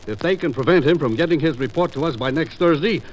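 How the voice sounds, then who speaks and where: An actor playing a grave, urgent commissioner, earnest and authoritative, in 1950s radio drama style. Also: male voice, fairly high pitch, fast talker, lightly acted, low-quality recording. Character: grave, urgent male commissioner briefing his agent. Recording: old radio recording, faint noise.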